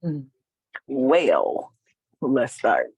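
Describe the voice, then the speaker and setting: Panelist, male, 30s, casual and blunt, slangy, gravelly texture. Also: medium pitch, wildly swooping pitch, extremely slow, a little mellow, no room sound, low-quality recording. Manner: frank, casual, slangy, hesitant fillers. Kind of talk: personal testimony on a panel.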